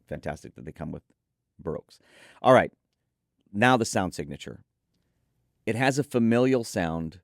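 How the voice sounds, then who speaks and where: Guy with a deepish voice doing a chatty gear rundown, keen, really dry recording. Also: animated, moderate pace, vocal fry, no echo. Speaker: host presenting an audio gear preview. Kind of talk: conversational product review reading specs.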